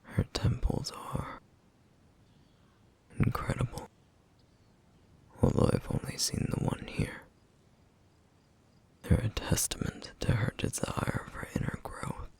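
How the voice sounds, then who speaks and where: Man voicing a whispering, gravelly, solemn flame demon. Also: medium pitch, darkish tone, full character voice. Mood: reverent. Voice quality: breathy, whispery, rough, gravelly. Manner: slow, formal, reflective phrasing. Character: solemn, duty-bound male flame demon, gentle and wistful. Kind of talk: ASMR roleplay, intimate soft-spoken monologue.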